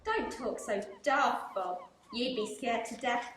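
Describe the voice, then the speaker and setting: Sensible wife, chiding, played by a young actress; distant echoey recording. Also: female voice, a little high, big pitch swings, takes it slowly, a bit bright, heavily acted. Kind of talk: amateur radio play acting. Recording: roomy echo, distant mic, faint hiss.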